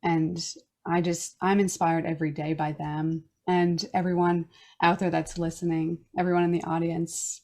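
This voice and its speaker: A woman from Newfoundland hosting a wellness podcast, reading a reflective personal statement in a slow, earnest way. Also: lowish voice, level tone, a little mellow. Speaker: podcast host. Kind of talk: reading a personal land acknowledgment and intro.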